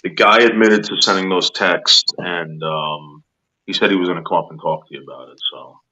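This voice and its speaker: A man's voice: low voice, moderate pace, pushed and bright. A man joining a livestream as a guest, stammering, repeating his words and swearing casually. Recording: dull lo-fi call audio.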